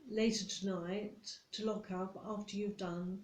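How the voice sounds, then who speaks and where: A woman plays a nitpicking British office boss in an ASMR roleplay. She is stern and condescending, spelling out the rules slowly and deliberately in a relaxed, mellow voice. The room adds a noticeable echo. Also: medium pitch, natural voice. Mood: stern, disapproving. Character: strict, nitpicking female office boss telling off a new employee. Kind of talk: ASMR roleplay monologue addressed to the listener. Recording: roomy echo.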